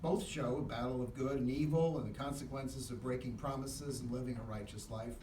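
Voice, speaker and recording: Male Sunday school teacher, comic straight man, animated but halting, heard from a distance with room echo and faint noise. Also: medium pitch. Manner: hesitant, halting, questioning. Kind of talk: live comic dialogue with a puppet. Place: echoey classroom, in front of a class.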